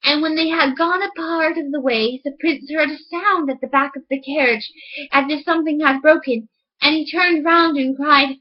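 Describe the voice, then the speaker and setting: A woman's voice: high voice, steady tone, moderate pace. A woman reading a fairy tale aloud as a storyteller, recorded with slightly dull, lo-fi sound.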